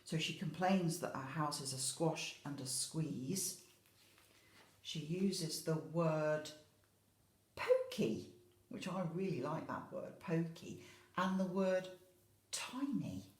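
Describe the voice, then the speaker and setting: Echoey, lower-pitched British woman teaching young children. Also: slow, long pauses. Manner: expressive, emphatic on key words, addressing children with tag questions. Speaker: infant school teacher. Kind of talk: educational talk to young children about a picture book. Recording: roomy, echoey home-style recording.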